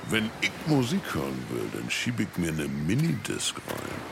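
A man's voice: low-pitched, huge pitch swings, normal speed, gravelly, background noise, full character voice. A man playing a laid-back, dismissive spa patient in radio comedy dialogue, offhand and blokey.

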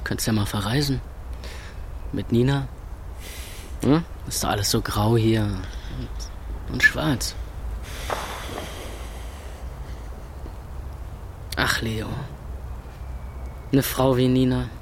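A man's voice: medium pitch, fairly even intonation, slow, long pauses, a bit bright, slight background noise. A man playing a gloomy, sarcastic young music club owner in a radio play, speaking colloquially and brooding. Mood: weary. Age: young adult. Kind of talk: radio play acting and narration. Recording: music behind the voice.

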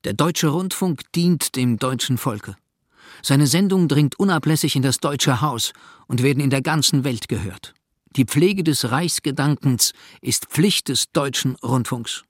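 A man's voice: medium pitch, a little slow, husky, dry recording, studio quality. A man reading an official document aloud in a formal, declarative style. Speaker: reader of documents.

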